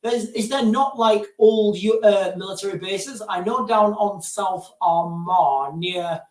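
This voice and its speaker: A man's voice: high-pitched, a little slow. A man hosting a live stream, chatting casually and rambling off on tangents while calling his viewers "guys". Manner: digressive, keeps addressing viewers as guys. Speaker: live-stream host answering viewer questions. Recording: roomy sound, distant from mic.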